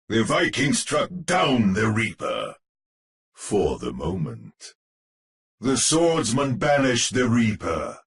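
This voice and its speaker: An actor playing a grave, ominous storyteller narrating a gothic horror tale for a video game, with solemn theatrical delivery. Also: male voice, on the low side, creaky, fairly bright tone, heavily acted.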